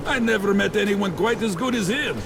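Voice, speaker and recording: A man voicing a joking, world-weary fisherman in a video game, with a gravelly, teasing drawl. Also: sits high, lively intonation, moderate pace, noisy background. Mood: chatty.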